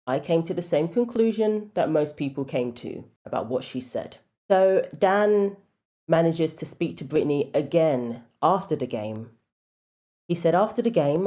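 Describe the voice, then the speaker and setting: Low-voiced woman giving opinionated commentary. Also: dark tone. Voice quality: deep voice. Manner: opinionated, wry asides. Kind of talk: casual commentary vlog.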